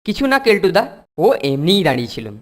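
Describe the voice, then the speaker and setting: A woman's voice: lowish voice, sing-song, fast. A woman voicing a mischievous, put-upon young schoolboy in a cartoon, grumbling indignantly in slang. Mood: sly, meek. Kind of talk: cartoon voice acting. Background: background music.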